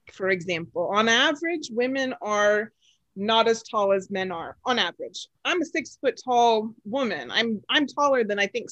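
A woman who calls her own voice low for a woman, talking in an animated, chatty way with plenty of fillers and laughs. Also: no echo. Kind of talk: casual podcast chat.

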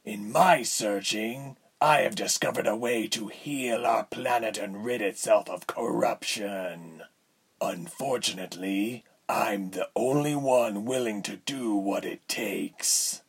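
A man voicing a condescending villain lord in a fantasy story, measured and smooth in manner with a breathy, husky tone. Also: lowish voice, exaggerated voice. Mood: persuasive. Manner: measured, silky, haughty.

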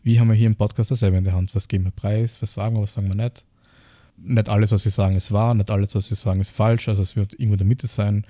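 A man's voice: on the low side, flat delivery, rapid-fire, warm tone, no room sound. An Austrian man co-hosting a podcast, explaining reflectively in casual, piecemeal phrases with rhetorical questions. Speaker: podcast co-host.

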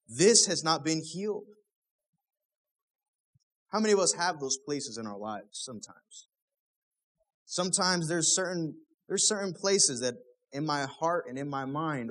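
A man's voice: high-pitched, a bit bright. A young man, a pastor, preaching a sermon in a casual, conversational way, full of rhetorical questions.

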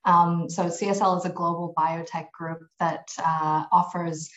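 A woman with a lowish voice talks through her answers carefully, pausing on ums and restarting her sentences. The sound is muffled and lo-fi. It has the echo of a bare room. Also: level tone. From grew up in Malaysia, US and New Zealand. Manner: thoughtful, many ums and restarts. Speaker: podcast guest, tax executive. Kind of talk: conversational interview answers.

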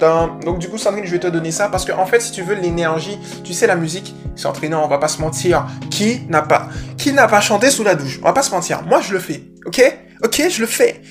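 Man, dog-coach podcast host, slight Guadeloupean lilt, upbeat motivator, music underneath. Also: high voice. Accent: Guadeloupean French, slight. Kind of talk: casual podcast advice.

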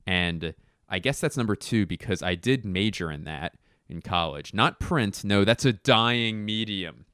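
Low, smooth-voiced man chatting dryly. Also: animated, pushed and bright, no room sound, clean studio sound. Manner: rambling, self-mocking, decisive-sounding, quick asides and restarts. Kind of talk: casual solo chat.

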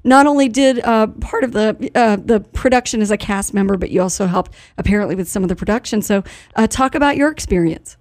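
A woman's voice: medium pitch, a little fast, hardly any pauses, darkish tone, dry recording, studio quality. A woman hosting a radio show, chatting with guests in a casual interview and reacting as she goes. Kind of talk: live interview, casual chat.

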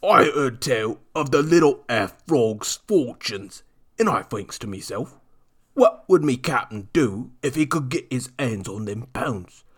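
A man doing a raspy wheedling crook. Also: mid-range voice, lively intonation, measured pace, pitched above the performer's own voice. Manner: wheedling, broken grammar. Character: sly cringing male street crook.

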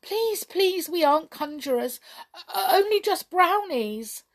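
Little storybook brownie boy, played by a woman: high, tearful, anxious, wailing and pleading like a naughty culprit. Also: lively intonation, a little slow, gravelly, heavily acted. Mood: frightened pleading. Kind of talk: children's storybook character.

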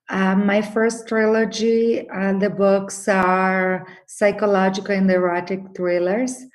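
A woman in her fifties from Brazil with a Brazilian Portuguese accent, answering interview questions hesitantly with many fillers. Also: mid-range voice. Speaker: guest, attorney and novelist.

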